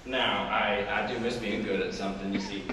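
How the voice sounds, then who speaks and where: A young man performing a haunted male high school English teacher, who talks in halting restarts and wry, self-mocking laughs, in a live dramatic interpretation. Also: fairly even intonation, bright, forceful tone. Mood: wistful, haunted. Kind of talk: competitive dramatic interpretation monologue. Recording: echoey hall from far off, noisy.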